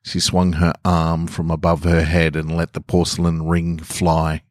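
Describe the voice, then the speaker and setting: A man's voice: deep voice, monotone, a little slow, no room sound, clean studio sound. A man hosting a podcast, talking casually and reading short stories aloud as narrator. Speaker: podcast host and story reader.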